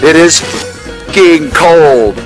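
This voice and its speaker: A man's voice: fairly high pitch, extremely slow, over music. An older man on a road trip, joking and grumbling casually, recorded outdoors and in a car on a camera mic. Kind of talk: casual travel chat. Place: outdoors at a scenic overlook and in a car.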